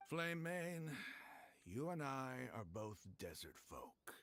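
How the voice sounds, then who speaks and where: An actor voicing a harsh but honorable desert bandit leader in a video game, commanding and taunting. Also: male voice, mid-range voice, sing-song, slow, fairly bright tone, heavily acted. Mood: relenting.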